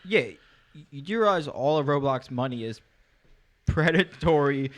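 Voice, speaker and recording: A man's voice: on the high side, lively intonation, normal speed, fairly bright tone. A young man co-hosting a podcast in casual chat, cracking jokes and teasing his friends. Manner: joking, teasing interjections. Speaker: podcast co-host.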